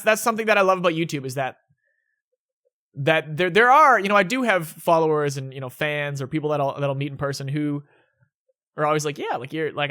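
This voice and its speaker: A man's voice: on the high side, bright, forceful tone. A young man answering viewer questions in a casual, rambling vlog at home, often restarting his sentences. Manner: rambling, self-correcting, laid-back. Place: indoors at home.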